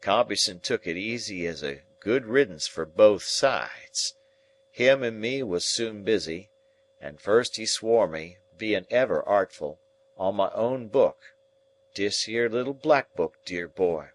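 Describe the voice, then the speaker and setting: A man doing a gruff old convict. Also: on the low side, lively intonation, a little slow. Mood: grim storytelling. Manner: gruff, plainspoken, rustic dialect. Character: rough old male convict telling his past.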